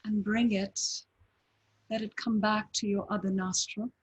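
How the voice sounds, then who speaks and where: A woman leading a guided breathing meditation, giving slow, calm, gentle instructions with long pauses. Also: mid-range voice, breathy edge, poor audio. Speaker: meditation teacher.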